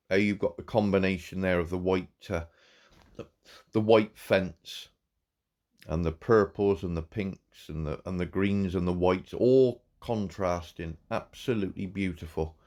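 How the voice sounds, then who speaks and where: A man, croaky from a cold, giving halting, warmly praising commentary on a farm game. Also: sits low. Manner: halting, repeats words, warm praise. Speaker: farm game channel host. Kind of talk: casual gameplay commentary.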